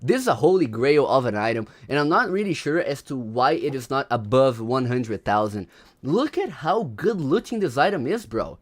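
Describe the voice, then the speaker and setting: Man, young adult, livestream host, animated, very lively pitch. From Brazil. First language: Portuguese. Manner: animated, slangy, chats with viewers. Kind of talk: casual livestream commentary.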